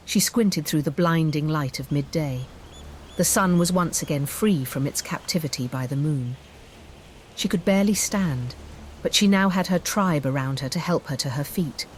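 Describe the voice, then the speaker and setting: A woman storyteller reading scripted fantasy narration in a measured, theatrical way. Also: deep voice, darkish tone, a little hiss or hum. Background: music bed.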